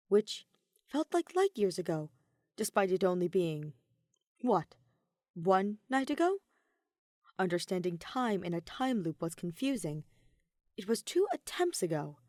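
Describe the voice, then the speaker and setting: A woman reading scripted fiction as an expressive audiobook narrator. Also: on the low side, measured pace, long pauses, no room sound, studio quality. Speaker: podfic narrator.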